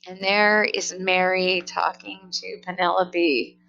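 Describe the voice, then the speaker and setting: A woman reading a children's story aloud in a careful, deliberate way, on a somewhat dull recording. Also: on the low side, little pitch movement, creaky, fairly bright tone. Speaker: storybook reader. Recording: dull, lo-fi sound.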